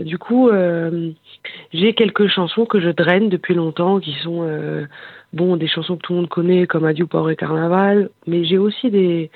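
This is a singer heard over a tinny, phone-like line with a dry sound and no room echo. The voice sits at a middle pitch. The singer talks haltingly, pausing often to search for words. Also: vocal fry. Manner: hesitant, searching for words, many fillers. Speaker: singer and bandleader. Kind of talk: phone interview.

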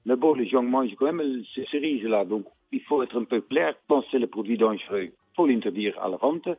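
An older man farmer from the Netherlands with a Dutch accent, speaking plainly and emphatically in a radio interview, pausing often with fillers. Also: medium pitch, husky, no echo. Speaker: fruit farmer. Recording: tinny phone-like radio line.

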